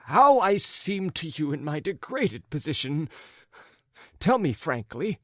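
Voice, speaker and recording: Man acting out a middle-aged storybook gentleman, high-pitched, agitated, muddled, apologetic, rambling, very animated intonation. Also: slow, no room sound, clean studio sound. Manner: agitated, wordy, stumbling and correcting himself.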